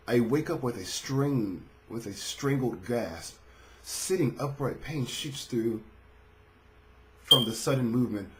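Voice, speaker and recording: A man's voice: medium pitch, slow, pauses often, bright, forceful tone, a little hiss or hum, low-quality recording. A man narrating and commenting on a visual novel playthrough as he reads it aloud. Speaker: video game streamer reading aloud. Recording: music behind the voice.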